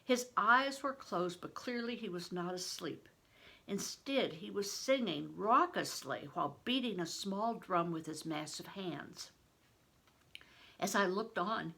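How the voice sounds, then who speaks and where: Expressive older woman reading slowly aloud. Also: on the high side, husky. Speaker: retired reading teacher reading aloud. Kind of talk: expressive storybook narration with teaching asides.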